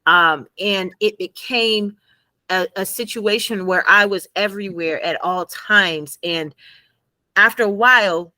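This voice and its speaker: A woman guest and former math teacher in a conversational interview, telling animated stories and laughing often. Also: mid-range voice, measured pace, no room sound.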